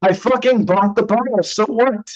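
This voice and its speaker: A man playing a troubled young man struggling with alcohol, halting and raw, in a staged play reading. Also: high-pitched, a little mellow, lo-fi sound, close to an everyday voice. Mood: defensive, then angry, pleading.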